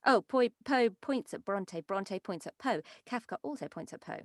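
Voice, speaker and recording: A woman's voice: medium pitch, animated, normal speed, no room sound, clean studio sound. A woman reading stage directions as scripted narration at an animation table read.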